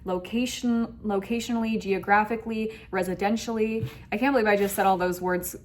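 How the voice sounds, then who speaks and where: A woman's voice: medium pitch, steady tone, brisk. A woman giving a spiritual tarot reading to viewers, speaking in an effusive, emphatic way and often repeating phrases. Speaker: tarot reader hosting an online reading.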